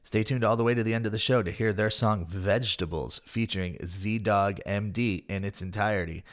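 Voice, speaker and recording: A man with a fairly low voice hosts a casual podcast in an upbeat, chatty way. He adds playful asides as he introduces songs and guests. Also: fairly quick. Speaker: podcast host. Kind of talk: casual podcast chat and segment narration.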